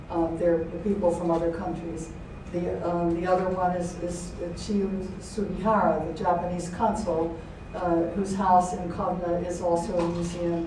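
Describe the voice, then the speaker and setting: An older woman, an author speaking to an audience in a halting, reflective manner. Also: on the low side. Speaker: author and poet giving a talk. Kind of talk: informal talk and poetry reading to an audience. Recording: very echoey room, distant mic, faint noise.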